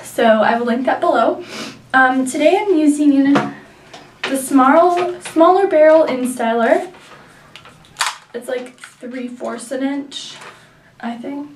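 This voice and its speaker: A woman's voice: high voice, slow, long pauses, far from the mic, slight background noise. A young woman with a nasal voice from a sinus infection, chatting through a hair tutorial with many likes and ums. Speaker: beauty vlogger. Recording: echoey room, mic set back.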